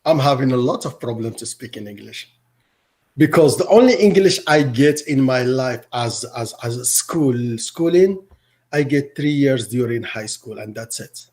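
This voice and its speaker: A middle-aged man from Morocco whose first language is Arabic, answering interview questions in a halting way, often repeating words and restarting. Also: medium pitch, animated, darkish tone. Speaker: teacher.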